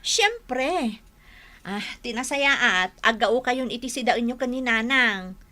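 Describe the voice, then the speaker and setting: An actress playing a proud, hospitable wife and dutiful daughter in a comedic radio drama. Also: female voice, fairly high pitch, lively intonation, slow, bright, forceful tone, exaggerated voice. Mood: welcoming.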